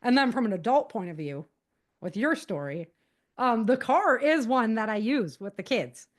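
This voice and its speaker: A woman's voice: on the high side, expressive, moderate pace. A woman speaking as a podcast guest, giving practical advice in a casual, conversational way and explaining things with animation.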